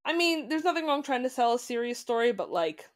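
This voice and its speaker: A woman's voice: fairly high pitch, very animated, fairly quick. A woman co-hosting a podcast, chatting casually with lots of asides and fillers. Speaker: podcast co-host. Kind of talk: casual chat.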